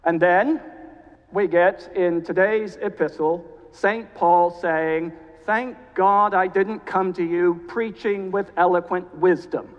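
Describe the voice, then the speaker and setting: A man's voice: sits high, fairly even intonation. A man from South Carolina with a mid-Atlantic accent, a cathedral canon giving a guest sermon with dry humor; he is jet lagged. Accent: mid-Atlantic, American Southern with British. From South Carolina, USA. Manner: genial, dry humor, measured. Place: church. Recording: dull sound, long reverb of a church.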